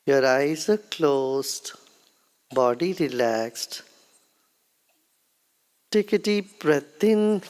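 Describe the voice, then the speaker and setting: This is a meditation guru with an Indian accent. The delivery is extremely slow, with long pauses between gentle instructions. The voice sits at a medium pitch and stays calm and unhurried throughout. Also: clear, resonant tone.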